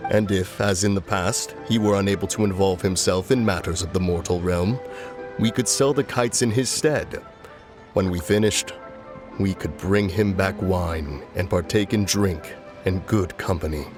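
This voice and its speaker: Low-voiced ancient male spirit, formal and grave, performed by a man, game music under. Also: lightly acted. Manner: formal, archaic, measured speech.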